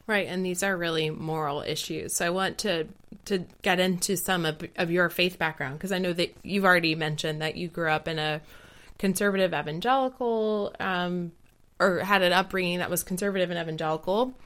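A woman from the southern United States hosting a podcast, asking measured, thoughtful questions. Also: fairly low pitch, fairly bright tone. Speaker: podcast host. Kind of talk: podcast interview.

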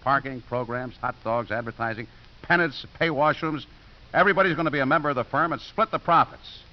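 An actor playing a brash, cynical, wisecracking American football coach in a 1950s radio drama, blunt and slangy. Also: male voice, mid-range voice, a little fast, close to an everyday voice. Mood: sly, persuasive. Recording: muffled, hissy 1950s radio recording.

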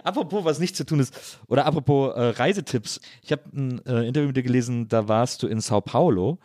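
A man's voice: medium pitch, a little fast, a little mellow, dry recording. A man with a mid-range voice hosts a casual podcast conversation. He circles into his questions with repeated words and small hesitations, recorded dry with very little room sound. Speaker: podcast host.

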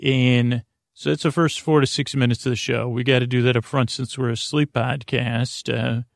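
Man, sleep podcast host, creaky voice, mumbly and rambling, dry close sound. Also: medium pitch, flat delivery, no echo. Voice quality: creaky, mumbly, lots of filler words. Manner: slow, meandering, soothing and self-deprecating. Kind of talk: rambling bedtime podcast talk.

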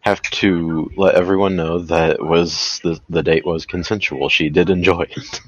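A man with a low, deep voice talks as a podcast co-host. His delivery is casual and conversational, like banter between friends. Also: steady tone, moderate pace, fairly bright tone, dry recording, muffled recording. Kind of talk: casual banter about films and trailers.

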